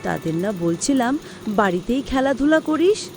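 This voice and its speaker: A woman's voice: on the high side, very animated, moderate pace, a little mellow, soft background music. A woman voicing a worried village mother in a cartoon story. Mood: alarmed, scolding. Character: worried village mother seeking her daughter. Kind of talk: cartoon voice acting.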